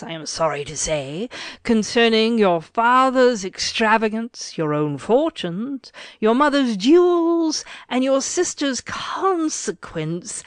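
A woman giving a dramatic, measured reading of a literary letter as an audiobook reader. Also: fairly high pitch, no room sound. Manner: expressive, measured, deliberate.

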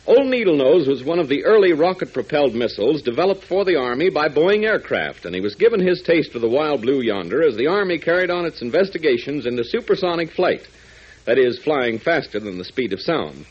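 A man narrates an old radio adventure with a dramatic, sweeping delivery. His voice is breathy and swings in pitch. The recording sounds dull and lo-fi. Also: big pitch swings, a little fast. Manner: dramatic, addresses listeners as gang. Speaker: narrator and announcer. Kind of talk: 1940s radio announcing.

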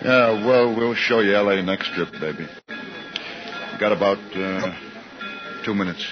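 An actor playing a tough, breezy police detective who talks in slang in a 1950s radio drama. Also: male voice, lowish voice, a little slow, close to an everyday voice. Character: brisk, slangy male police detective giving orders. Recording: dull vintage radio recording with music.

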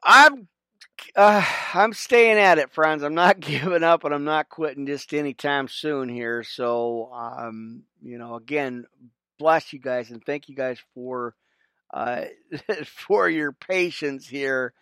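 A man's voice: on the high side, slow pace, pushed and bright, clear, resonant tone, dry recording. A middle-aged man hosting a faith podcast, chatting casually with lots of fillers and little laughs, fighting a cold and cough. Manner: rambling, many fillers, self-deprecating laughs. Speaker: podcast host. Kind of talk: rambling casual devotional chat.

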